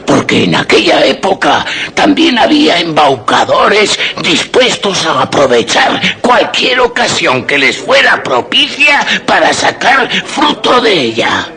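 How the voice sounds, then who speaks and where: An actor playing a sententious village priest in radio drama, with a measured, declamatory delivery. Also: male voice, on the high side, big pitch swings, pushed and bright, heavily acted. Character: preachy village priest urging proof and prudence. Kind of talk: Spanish radio drama acting.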